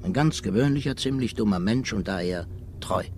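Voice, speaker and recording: An actor playing a weary, timid older former cashier in a radio drama, ruminating formally and putting himself down. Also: male voice, lowish voice, expressive, normal speed, darkish tone, natural voice. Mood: bitter, resigned. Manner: ruminating, self-deprecating, formal. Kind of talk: 1950s radio drama acting. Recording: noisy, aged 1950s radio recording.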